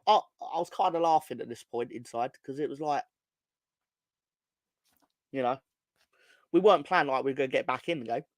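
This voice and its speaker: A hoarse man ranting on a casual football fan podcast, swearing and rambling with many restarts. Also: mid-range voice, a little fast, pauses often, no room sound. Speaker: podcast co-host.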